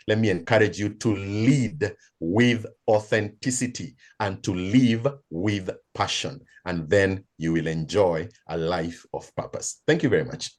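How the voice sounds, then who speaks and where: A man with a Kenyan accent giving a motivational talk as a coach, deliberate and emphatic, laying out his points in order. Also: sits low. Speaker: guest speaker and coach. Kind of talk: motivational talk in an online session.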